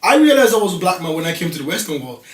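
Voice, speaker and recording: A man's voice: high voice, big pitch swings, very fast, fairly bright tone. Assertive man in a roomy space. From Nigeria. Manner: assertive, talks over others to make his point. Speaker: talk show guest. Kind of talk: casual group discussion. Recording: roomy studio, voice heard from a distance.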